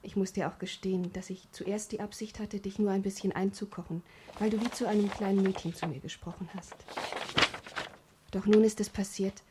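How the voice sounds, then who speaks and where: An actress playing a lovestruck, headstrong young woman in a German radio crime drama, breathy, intimate and emotional. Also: mid-range voice, warm tone, slight background noise, lightly acted. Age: young adult. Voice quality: breathy, often near whispering.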